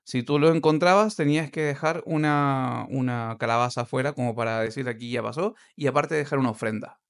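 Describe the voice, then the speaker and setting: A man's voice: medium pitch, a little fast, vocal fry, clean tone. A man co-hosting a podcast, reading aloud and telling stories with casual, playful asides. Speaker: podcast co-host.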